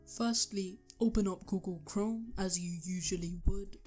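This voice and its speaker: A young man with a sore, hoarse throat, talking through a computer tutorial step by step, with the odd um. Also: sits high, fairly even intonation, measured pace, over music. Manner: earnest step-by-step instructions with ums. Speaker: tech tutorial youtuber. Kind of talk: screen-recorded tutorial voice-over.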